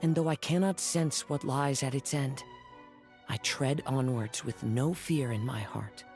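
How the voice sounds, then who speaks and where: A gentle young male samurai, acted by a man for a video game. He speaks calmly and solemnly, with poetic phrasing, and music plays behind him. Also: medium pitch, measured pace, natural voice. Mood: serene. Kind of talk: scripted video game voice line.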